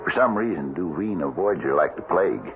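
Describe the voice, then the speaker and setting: Deep-voiced fatherly rancher. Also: animated, fairly quick. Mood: fond, reflective. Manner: plainspoken, kindly, persistent. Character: fatherly, plainspoken older Western rancher. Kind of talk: 1970s radio western acting. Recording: noisy, tinny old radio broadcast.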